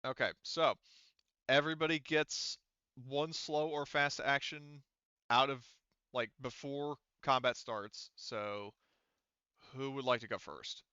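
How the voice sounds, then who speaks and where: Man, game master, halting casual rules talk, smooth voice, dry slightly muffled recording. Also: medium pitch, fairly even intonation, bright, forceful tone, no room sound. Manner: halting, self-correcting.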